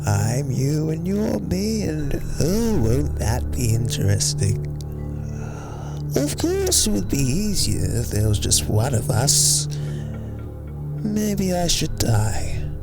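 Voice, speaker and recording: Deranged sly creature villain, swooping pitch, theatrical musing, slightly low voice, loud music underneath. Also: female voice, exaggerated voice. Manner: theatrical, musing, giggling menace. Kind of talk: fan-dub animated villain acting.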